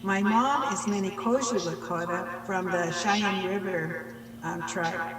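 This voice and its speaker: An older woman from Pine Ridge Reservation, leading a measured, reverent prayer and introducing herself at a workshop. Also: lowish voice, echoey room, in a large hall, far from the mic, over a noisy crowd. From Pine Ridge Reservation, United States. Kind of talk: slow prayer and self-introduction.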